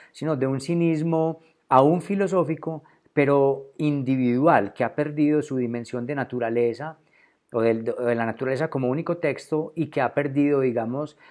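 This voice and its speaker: A man's voice: medium pitch, darkish tone. A man, maybe, giving a solo philosophy lecture to camera, thinking aloud, restarting phrases and repeating words as he searches for the right term. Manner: thoughtful, with frequent restarts and repeated words while searching for terms.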